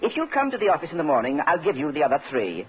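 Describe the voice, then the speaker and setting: An actor playing a polite, talkative, mock-rueful swindler with an artist's soul in an old radio drama. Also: male voice, a little high, wildly swooping pitch, very fast, narrow, tinny audio. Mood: smooth, fussy. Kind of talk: 1940s-50s radio drama acting. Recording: scratchy, thin old-time radio recording.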